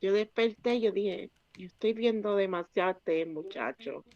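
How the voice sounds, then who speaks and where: A woman's voice: mid-range voice, moderate pace, muffled recording. A woman chatting casually beside the streamer and telling him about a dream. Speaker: streamer's companion. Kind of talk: casual chat.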